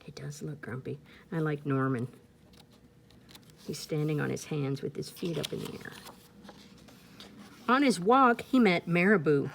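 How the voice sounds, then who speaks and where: A woman's voice: sits low, measured pace, vocal fry, pauses often, slight background noise. A woman reading a children's storybook aloud expressively, with casual asides spoken directly to young listeners. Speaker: storybook reader.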